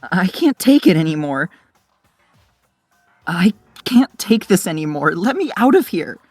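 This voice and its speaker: A fearful, distressed schoolgirl voiced by a woman with a low, husky voice. She sounds like an amateur-dubbed game character, recorded dry with music behind. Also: very animated, measured pace, darkish tone, no echo. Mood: desperate. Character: distressed, fearful female student. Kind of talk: amateur game fan dub dialogue.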